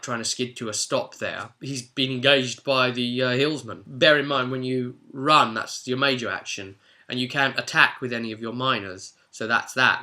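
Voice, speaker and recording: A man's voice: medium pitch, sharp tone. A man speaking as game master, giving a chatty, rambling, explanatory recap of a tabletop role-play session.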